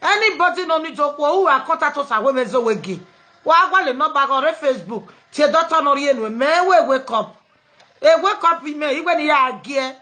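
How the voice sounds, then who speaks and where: A woman's voice: high-pitched, animated, fairly quick, bright, forceful tone. A Nigerian woman hosting a live online program, chatting casually with callers and switching freely between pidgin, English and local languages.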